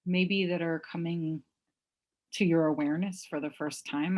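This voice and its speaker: Slow, low-pitched woman guiding meditation. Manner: gentle, unhurried, with long pauses. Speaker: mindfulness meditation teacher. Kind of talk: guided meditation.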